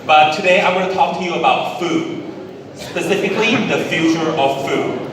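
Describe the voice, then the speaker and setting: A man from Thailand with a Thai accent, giving a public presentation in English as a conference speaker, deliberate and emphatic with repetition. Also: fairly high pitch, crowd noise. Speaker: conference speaker, business executive. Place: large indoor event hall. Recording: very echoey hall, distant, noisy ambience.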